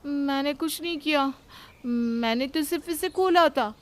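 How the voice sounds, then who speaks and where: Woman playing a high-voiced, meddlesome young daughter-in-law. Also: measured pace, dry recording. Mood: defensive.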